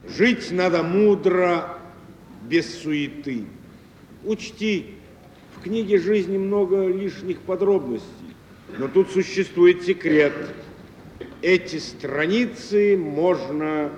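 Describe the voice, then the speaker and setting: Slow, echoing, wistful stage philosopher man. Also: high voice, darkish tone, slight background noise. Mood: wistful, musing. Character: man philosophizing on living wisely and without fuss, lofty, wistful. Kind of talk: theatrical stage acting. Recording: echoey stage hall, plucked guitar music.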